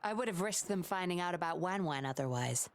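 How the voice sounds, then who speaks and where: A woman playing a composed, sly undercover patroller in a video game, coolly confident and measured. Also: fairly low pitch, expressive. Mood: serious, cool. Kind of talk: scripted video game voice acting. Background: game music.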